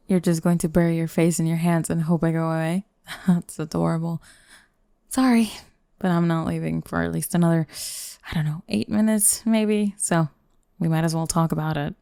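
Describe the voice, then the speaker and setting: A woman's voice: fairly low pitch, steady tone, normal speed, pauses often, mellow, dry recording. A young woman playing a sardonic goth bully girl in an intimate ASMR roleplay, grumbling and teasing the listener up close. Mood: teasing disbelief. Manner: teasing, grumbling, sighing, dropping into breathy murmurs. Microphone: close microphone, dry.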